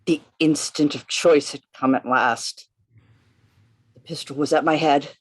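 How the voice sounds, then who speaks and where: An actor in a radio play reading, playing an undercover policeman who tells his own story in a deliberate, theatrical manner. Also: medium pitch, fairly even intonation, close to an everyday voice. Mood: tense. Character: Gabriel Syme, tense undercover policeman telling his story. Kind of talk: dramatic first-person radio play narration. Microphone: video call.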